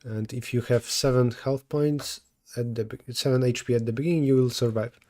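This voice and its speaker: Mellow-voiced Polish man thinking aloud. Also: medium pitch, steady tone, moderate pace. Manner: thinking aloud, self-correcting mid-sentence. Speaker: streamer solving coding problems. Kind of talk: live coding stream, answering chat.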